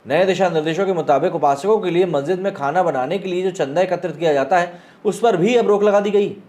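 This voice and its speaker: A man's voice: a little high, a little mellow. An Indian man presenting a news explainer, mixing formal news phrasing with colloquial asides. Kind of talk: news explainer commentary, reading out online reactions.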